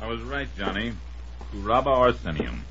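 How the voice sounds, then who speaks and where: A man's voice: mid-range voice, normal speed, a bit bright, slight background noise, natural voice. A man acting an assured, methodical medical expert in an old-time radio mystery. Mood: urgent, certain. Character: assured, methodical male doctor and forensic expert. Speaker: supporting actor. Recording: muffled old radio recording.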